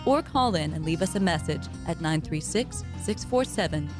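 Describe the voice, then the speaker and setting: Woman reading radio promo, a bit low voice, guitar music playing under. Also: lively intonation, moderate pace. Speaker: station announcer. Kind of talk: scripted sponsorship promo.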